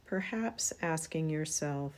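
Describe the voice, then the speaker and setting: A woman guiding a relaxation meditation in a soothing, unhurried manner, leaving long pauses between phrases. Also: low-pitched, lively intonation. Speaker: yoga nidra meditation guide.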